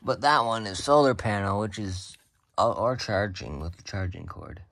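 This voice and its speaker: A man co-hosting a casual, hands-on gadget review in a halting, tentative, informal way. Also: lowish voice, gravelly, a bit bright. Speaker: radio reviewer, co-host. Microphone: phone filming close by. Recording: noisy amateur phone recording with static.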